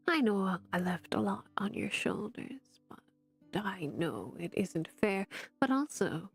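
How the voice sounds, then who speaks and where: A woman voicing a loving aunt's farewell letter, soft and partly whispered, over faint music. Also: medium pitch.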